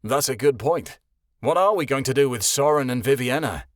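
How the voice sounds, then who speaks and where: Guy doing a snarly gruff husband, basically his own voice. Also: medium pitch, lively intonation, moderate pace, dry recording, clean studio sound, close to an everyday voice.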